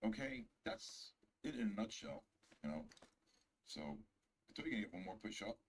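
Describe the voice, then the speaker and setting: A man's voice: lowish voice, sing-song, moderate pace, a little hiss or hum. A man in his sixties, a veteran guest trader chatting on a live stream, boastful and teasing. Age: 60s. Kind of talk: casual chat on a live stream.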